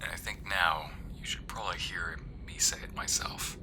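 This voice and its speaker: A man's voice: deep voice, steady tone, moderate pace, close to an everyday voice. A man playing a devoted, sentimental boyfriend in a romantic ASMR roleplay, speaking intimately and earnestly. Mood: hushed, tender. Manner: intimate, earnest, often hushed and breathy.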